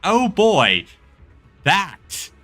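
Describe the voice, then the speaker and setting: A man's voice: high voice, huge pitch swings, extremely slow, fairly bright tone, soft background music. A man giving casual, informal commentary as he streams a video game. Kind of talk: casual playthrough commentary, reading and riffing on dialogue.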